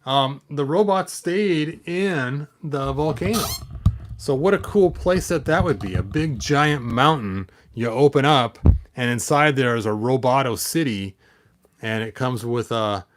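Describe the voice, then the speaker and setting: A man's voice: mid-range voice, measured pace. A man hosting a hobby vlog in casual, digressive chat, often half talking to himself as he handles things. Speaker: toy collector, video host.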